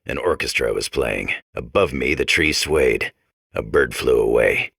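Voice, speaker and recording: An actor playing a dull, world-weary hard-boiled detective robot, narrating in clipped deadpan noir parody with a gravelly voice. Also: male voice, extremely low pitch, unhurried, no echo, studio quality, full character voice.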